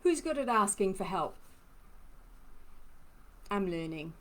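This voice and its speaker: A woman's voice: mid-range voice, sing-song, slow pace. A woman from Trinidad with a mixed, hard-to-place accent, a lymphoedema therapist giving a chatty live-stream talk and answering viewer comments. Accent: mixed, hard-to-place blend. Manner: chatty, personal, laughs often.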